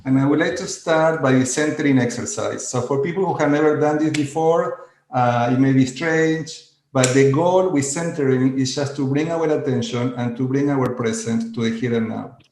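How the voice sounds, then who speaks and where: Brief: man, coach on a video call, slow gentle guidance, roomy sound. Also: mid-range voice, a little hiss or hum. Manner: slow, gentle, inviting instructions. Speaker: coach and conference presenter. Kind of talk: guided centering exercise.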